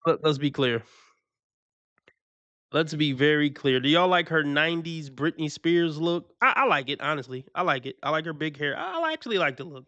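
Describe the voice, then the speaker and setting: A man's voice: on the high side, expressive, moderate pace, sharp tone, no echo, muffled recording. A man hosting a stream, reacting to clips in slangy, casual talk, laughing and repeating his points for emphasis.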